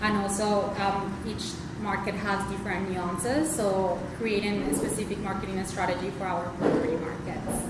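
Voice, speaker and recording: A woman with a Colombian accent gives an informal guest talk to an audience. The microphone picks her up from far off. The room echoes heavily, and loud noise sits over the recording. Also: mid-range voice, level tone, normal speed, few pauses. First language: Spanish. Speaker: guest speaker, tech marketing lead.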